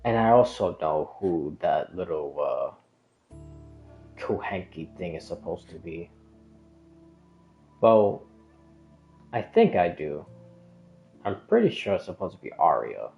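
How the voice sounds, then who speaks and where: Slow, low-voiced man rambling on a stream. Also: sing-song, creaky, dull audio. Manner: rambling, hesitant, often trailing off and changing tangent. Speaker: game streamer. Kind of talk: casual rambling live-stream chat. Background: background music.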